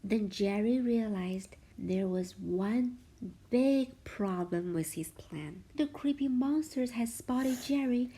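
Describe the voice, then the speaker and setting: A woman reading a children's storybook aloud as a parent would, expressively, with a hushed sense of suspense. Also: fairly high pitch, slow, slight background noise, poor audio.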